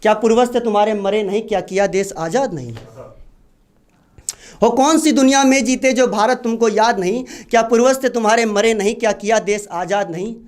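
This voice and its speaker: A man's voice: sits high, brisk, a little mellow. A man reciting patriotic poetry at an open mic, declaiming and repeating lines for emphasis. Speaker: poet.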